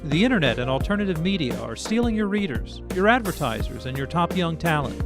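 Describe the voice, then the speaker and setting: A man's voice: on the high side, big pitch swings, a little fast, music in the background. A man narrating a scripted video essay as its host, reading in the style of political media commentary.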